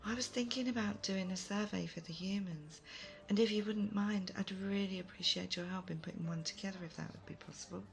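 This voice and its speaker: A woman playing a thoughtful, kind, self-doubting leader in an audio roleplay, soft-spoken, hesitant and gently earnest. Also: medium pitch, fairly quick, creaky, soft background music, close to an everyday voice. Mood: fond, thoughtful. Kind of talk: immersive audio roleplay addressed to the listener.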